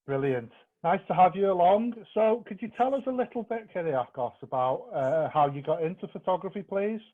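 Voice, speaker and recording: A man's voice: sits high, lively intonation, a little fast. Man on a tinny call line, friendly and encouraging. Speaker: interviewer and arts officer. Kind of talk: hosting an online artist conversation. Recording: tinny phone-like call audio.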